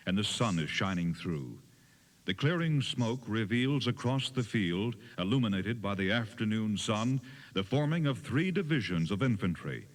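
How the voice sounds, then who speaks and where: A man narrating a dramatized history tour in a solemn, measured, scripted storytelling style, on an old cassette recording. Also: on the low side. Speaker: narrator of a battlefield audio tour. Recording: noisy 1980s cassette tape.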